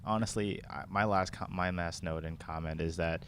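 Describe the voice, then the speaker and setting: A man with a deep voice chats informally as a podcast co-host. He speaks haltingly, often restarting phrases. There is faint noise on the amateur recording. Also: fairly even intonation, a bit bright. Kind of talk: casual podcast chat. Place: around a picnic table.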